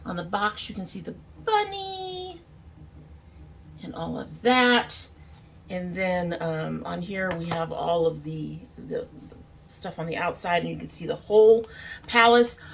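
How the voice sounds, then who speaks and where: A woman's voice: lowish voice, animated, unhurried, gravelly, a little hiss or hum. A woman talking informally to camera as a beauty vlogger, thinking aloud in a casual makeup review.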